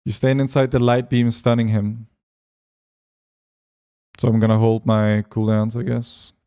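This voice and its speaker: A man streaming a game, chatting with his viewers and reading their messages aloud as he plays. His voice is mellow and dark, with a gravelly rasp at the bottom, and his pitch sits in a middle range. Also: flat delivery. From Europe. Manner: reads chat aloud while playing, quick asides. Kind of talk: casual gaming stream chat.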